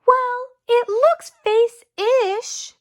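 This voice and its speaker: A woman's voice: very high voice, fairly even intonation, extremely slow, no echo, clean studio sound, pitched above the performer's own voice, heavily acted. A woman voicing a cheerful, admiring little girl in a storybook, speaking in an eager, exclaiming way. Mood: delighted.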